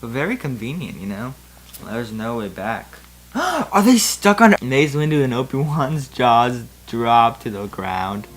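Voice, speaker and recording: A man's voice: medium pitch, big pitch swings, slow pace. A man hosting a comedic fan fiction reading, mixing theatrical, mock-serious narration with casual commentary.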